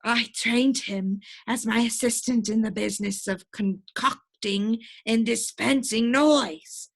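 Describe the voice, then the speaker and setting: A woman's voice: a little high, slow, vocal fry, full character voice. A woman voicing a proud, exasperated male doctor in a storybook, lecturing emphatically with questions. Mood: proud, explaining.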